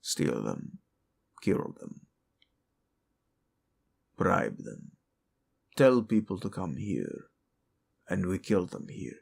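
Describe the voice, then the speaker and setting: A man voicing a grim undead death knight servant in a fantasy audio roleplay, breathy and terse, with a sullen menace. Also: sits low, very animated, very slow, long pauses, darkish tone, voice pushed down, exaggerated voice. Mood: cold, obedient. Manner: terse, menacing, reluctantly obedient.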